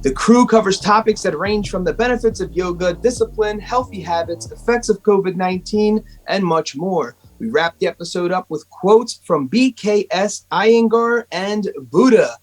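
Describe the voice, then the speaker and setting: High-voiced upbeat podcast host man. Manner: upbeat, hyping, says "I love it". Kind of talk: podcast intro and interviewing.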